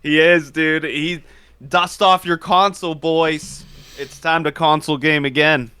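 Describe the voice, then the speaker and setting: A man co-hosting a casual gaming podcast, excitable and animated and quick to laugh, speaking into a studio mic. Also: a little high, smooth. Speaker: podcast co-host.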